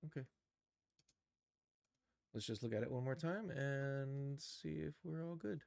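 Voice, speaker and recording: A man thinking aloud through a casual live software tutorial, in an informal, musing, tentative manner. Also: medium pitch, unhurried, gravelly, no room sound, studio quality. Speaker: streamer teaching animation software.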